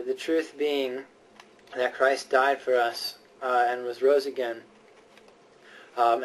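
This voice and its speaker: A man delivering a persuasive, sermon-like speech in an earnest, emphatic and often hesitant way. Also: mid-range voice. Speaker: speaker giving a prepared speech. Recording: voice distant from the microphone.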